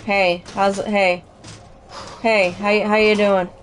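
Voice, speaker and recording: A woman's voice: mid-range voice, little pitch movement, slow pace, a little hiss or hum, rough recording. A young woman streaming a game from home, chatting casually, swearing and laughing as she plays. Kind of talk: casual live-stream chat. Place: home, indoors.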